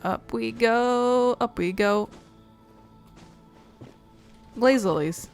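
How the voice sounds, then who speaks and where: A woman's voice: a little high, slow, faint music behind. A woman giving casual, wry let's play commentary as a gaming video host, swearing freely.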